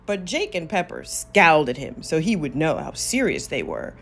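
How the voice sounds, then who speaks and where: Lady reading a kids story, lowish voice, slow and super expressive up n down. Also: vocal fry, husky, faint music behind. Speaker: storybook reader. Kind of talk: children's read-aloud narration.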